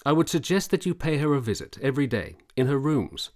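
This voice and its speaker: Male actor as military doctor, period radio play, earnest, formal, deliberate, mid-pitched voice. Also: very animated, a little mellow, close to an everyday voice. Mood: earnest, persuading. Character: earnest, persuasive military doctor in 1870s Italy. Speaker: supporting actor. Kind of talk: period radio drama acting.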